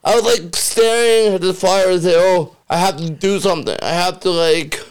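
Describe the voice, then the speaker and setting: A man, a comedian chatting as a guest, speaking with a stutter and halting restarts. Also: high-pitched, clear, resonant tone. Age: 30s. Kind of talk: casual chat.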